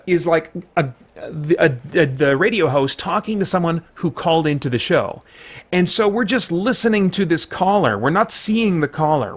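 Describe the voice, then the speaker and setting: A man talking through a film review in a casual, conversational way, rambling a little as he explains his opinions. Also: medium pitch. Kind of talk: casual spoken movie review.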